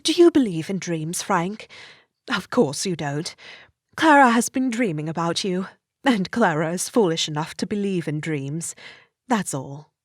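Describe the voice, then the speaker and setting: An actress playing a brisk, sensible married Victorian lady, scolding and theatrical, in an audiobook drama. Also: on the low side, expressive, no echo, studio quality. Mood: light, hurried.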